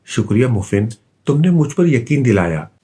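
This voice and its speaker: Male narrator as young girl, storybook, shy, sincere, earnest, expressive pitch. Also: moderate pace, breathy edge. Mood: grateful, hopeful. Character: shy self-doubting eight-year-old girl, dreamy and sincere.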